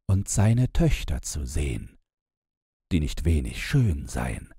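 A man, narrating a bedtime fairy tale in a measured, gentle storytelling manner, close to the microphone. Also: low-pitched, slightly rough, dry recording. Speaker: audiobook narrator.

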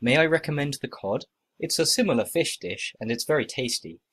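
A man acting out short scripted everyday dialogues for a listening test, with plain, expressive conversational reading. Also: medium pitch, brisk, husky.

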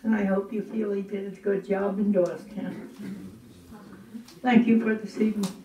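A woman's voice: medium pitch, fairly even intonation, normal speed. An older woman reminiscing fondly about her father to an audience. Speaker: guest speaker. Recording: echoey room, distant mic, faint noise.

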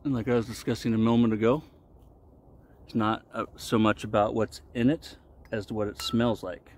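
A man in his forties giving a casual explanatory talk to camera as a vlogger, with deliberate, halting phrasing, recorded outdoors. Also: lowish voice, fairly even intonation, darkish tone. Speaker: vlogger reviewing shaving products. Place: outdoors in a wooded park clearing. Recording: noisy outdoor ambience. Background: outdoor ambient noise.

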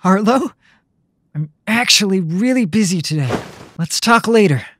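A man's voice: high-pitched, lively intonation, moderate pace, a bit bright, reverberant, in a large hall. A man voicing a wary, anxious teenage schoolboy investigator in a comic dub. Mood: panicked, evasive. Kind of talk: dramatic comic dub acting with inner monologue.

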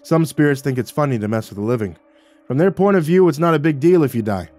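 A man's voice: on the high side, expressive, quick pace, no room sound, pitched above the performer's own voice, close to an everyday voice. A man voicing a solemn, dryly teasing Navajo ancestor spirit, tall and wise, in a narrated story. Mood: surly, kindly. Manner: quiet, grave delivery with dry teasing.

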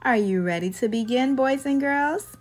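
A woman reading a story aloud to young children in an expressive, singsong storytelling manner. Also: sits high. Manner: slow, expressive, singsong delivery. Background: background music.

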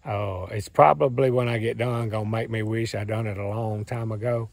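A hoarse man in his thirties with a Southern US accent, rambling cheerfully in a folksy way to a phone camera while standing outdoors in the woods. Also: lowish voice, fairly even intonation, normal speed, no echo, studio quality. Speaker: farm and hunting channel host. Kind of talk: casual vlog talk to camera. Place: outdoors in woods beside a truck. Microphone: phone camera at arm's length.

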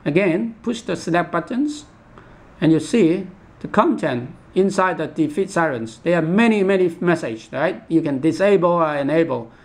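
Male product demonstrator, high-pitched with a creaky edge, halting step-by-step instructional delivery. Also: animated. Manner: halting, repetitive, instructional, with imperfect English grammar. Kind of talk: unscripted step-by-step product tutorial.

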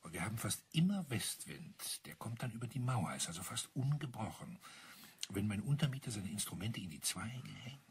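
Husky, lively, chatty landlord. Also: male voice, on the low side, normal speed, exaggerated voice. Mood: chatty, explaining. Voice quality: husky, breathy. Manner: chatty, explanatory, savouring details. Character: talkative male landlord amused by eccentric neighbours. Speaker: radio play actor. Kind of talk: German crime radio drama acting.

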